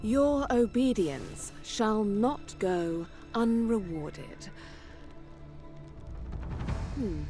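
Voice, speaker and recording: A cool, commanding noblewoman from a video game. Her delivery is haughty and drawn out, very lively in pitch yet extremely unhurried. Faint music sits behind her. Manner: imperious, drawn-out, condescending. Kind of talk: video game cutscene acting.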